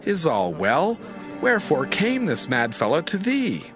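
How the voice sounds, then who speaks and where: An actor playing a blunt, demanding soldier in a theatrical, drawn-out style for a dramatized scripture reading. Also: male voice, fairly high pitch, huge pitch swings, music in the background. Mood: suspicious, demanding. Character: blunt, suspicious male soldier among army officers.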